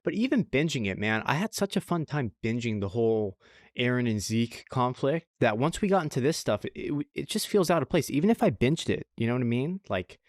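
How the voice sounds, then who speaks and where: A man's voice: medium pitch, big pitch swings, a little fast, dry recording, clean studio sound. A man co-hosting a casual anime review podcast, chatting informally and often repeating short phrases for emphasis. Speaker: podcast co-host.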